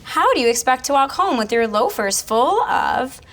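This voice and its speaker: A woman's voice: high-pitched, sing-song, normal speed. A woman who teaches elementary school, explaining reading strategies and reading aloud in an instructional way.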